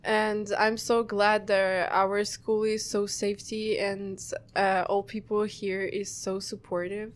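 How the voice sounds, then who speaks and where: A woman's voice: medium pitch, flat delivery, takes it slowly, few pauses. Ukrainian-accented teen girl, simple phrasing. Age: teens. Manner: simple, non-native phrasing. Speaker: exchange student guest. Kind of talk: casual interview.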